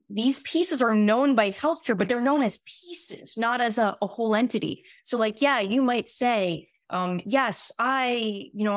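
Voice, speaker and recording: A raspy-voiced woman in her thirties, a guest physician explaining at length in casual podcast talk, with many fillers and restarts. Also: medium pitch, dry recording. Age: 30s.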